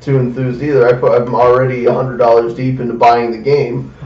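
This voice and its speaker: A man's voice: medium pitch, level tone, brisk. Defensive man chatting in an echoey room. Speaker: podcast panelist discussing video games. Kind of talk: casual chat and debate. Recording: echoey room, distant dull mic.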